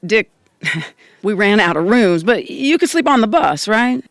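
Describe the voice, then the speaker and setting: A woman's voice: medium pitch, very animated, unhurried, no room sound, clean studio sound. A woman playing a feisty, charming country diner owner in a comedic audio drama. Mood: brisk, upbeat.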